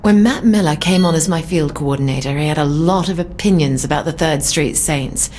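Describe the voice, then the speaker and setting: An actress playing a proud, disciplined British secret agent, formal and composed, in a scripted game audio log. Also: female voice, low-pitched, fairly quick, darkish tone, slight background noise, close to an everyday voice. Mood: composed, reflective.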